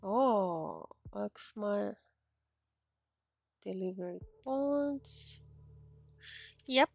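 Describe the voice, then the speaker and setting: A woman's voice: medium pitch, expressive, extremely slow, gravelly. A woman streamer giving casual game commentary, thinking aloud and reading text from the screen. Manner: murmured acknowledgements, thinking aloud. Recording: muffled mic over faint game music.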